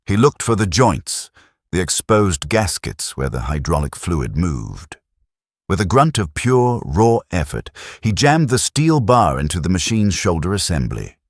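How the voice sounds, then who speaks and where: A man narrating a science fiction audiobook in a dramatic, measured delivery. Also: low-pitched, big pitch swings, no echo, studio quality.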